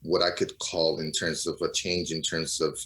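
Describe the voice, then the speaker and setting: Low-voiced middle-aged man from Portugal. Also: level tone, normal speed, a bit bright. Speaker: interview guest, DJ. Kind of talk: reflective interview answers.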